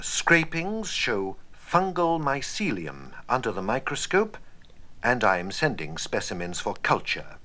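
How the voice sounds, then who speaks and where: Brisk, courteous male hospital doctor, played by an actor, radio play style, bright and lively in pitch, faint noise behind. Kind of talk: educational radio play acting, dictation and phone calls.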